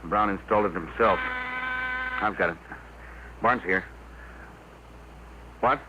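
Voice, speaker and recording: An actor in a 1950s radio drama playing a decisive, businesslike leader of a rocket project, with a commanding manner. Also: male voice, on the low side, slow pace. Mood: concerned. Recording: muffled, noisy 1950 radio recording.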